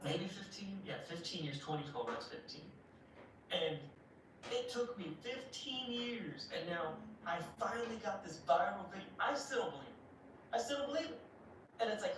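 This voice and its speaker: A person in a played-back vlog clip talking earnestly about years of making videos. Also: medium pitch. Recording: echoey, distant, noisy playback.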